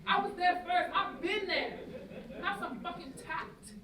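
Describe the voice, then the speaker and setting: A woman's voice: extremely high pitch, unhurried, over a noisy crowd. A young woman acting a heartbroken, defensive, slangy young woman in a staged play reading. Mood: indignant, scornful. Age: young adult. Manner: slangy, indignant, exasperated delivery. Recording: roomy distant stage recording, noisy audience.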